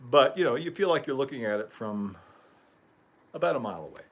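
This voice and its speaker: Man, livestream host, mid-pitched voice, casual rambling chat, chuckles, throat clearing, a bit hoarse. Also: animated, a little fast. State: losing his voice.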